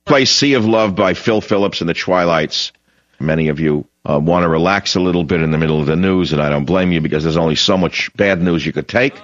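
A man's voice: sits low, a little fast, no room sound. An older man hosting a talk radio show, emphatic and opinionated, often restarting his sentences. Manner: emphatic, repeats himself, many restarts. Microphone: studio microphone. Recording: dull, dry studio broadcast sound.

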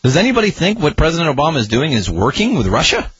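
Sarcastic, animated talk radio man. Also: on the high side, fast talker, slightly rough, no room sound, muffled recording. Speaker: guest radio talk host.

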